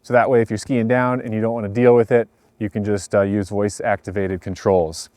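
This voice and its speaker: A man's voice: fairly low pitch, flat delivery, a little fast, a little mellow, dry recording, clean studio sound. A man giving a casual product review in vlog style, explaining things in a laid-back, plain way. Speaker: host of a ski gear review.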